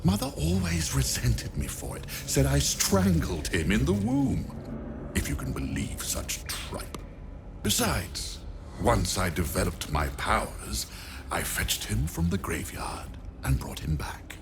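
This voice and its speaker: A man's voice: medium pitch, wildly swooping pitch, vocal fry, noisy background, exaggerated voice. An actor playing an arrogant, cold male necromancer in a video game cutscene, speaking with haughty disdain. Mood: gloating. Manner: haughty, disdainful, slow and deliberate.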